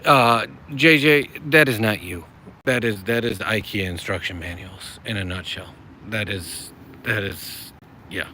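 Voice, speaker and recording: A man's voice: fairly low pitch, sharp tone, slight background noise. A middle-aged American man hosting a casual livestream, rambling in a friendly way and reading chat messages aloud. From United States. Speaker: livestream host.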